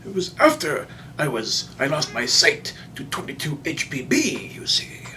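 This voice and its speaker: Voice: mid-range voice, wildly swooping pitch, pushed and bright, a little hiss or hum. A performer voicing a doddering, folksy old man who rambles and stammers through a comic storytime monologue. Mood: rambling, reminiscing. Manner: rambling, halting, stammering old-timer delivery. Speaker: storyteller, show host.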